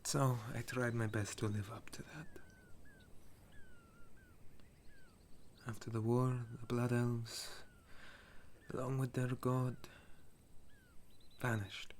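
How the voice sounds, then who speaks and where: A man playing a weary, tender legendary elf war hero in an intimate ASMR roleplay, speaking in a soft, breathy half-whisper. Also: mid-range voice, flat delivery, slight background noise, lightly acted. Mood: wistful, reassuring. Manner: slow, gentle, wistful storytelling.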